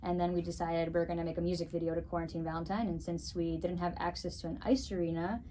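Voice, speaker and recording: A woman's voice: fairly low pitch, fairly even intonation, quick pace, mellow, slight background noise. A young woman, Greek her first language, answering interview questions in an upbeat, chatty way, often wrapping up with "so, yeah". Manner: upbeat, chatty, frequent "so, yeah" wrap-ups. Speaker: guest, figure skater and musician.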